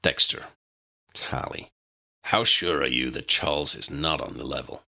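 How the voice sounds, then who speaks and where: A gruff yet fair commanding officer from an audiobook adventure, acted out by a male narrator. He sounds authoritative and knowing, with a low, gravelly grain. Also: measured pace, bright, forceful tone, exaggerated voice. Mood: gruff, questioning. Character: gruff but fair male commandant, cigar-smoking superior.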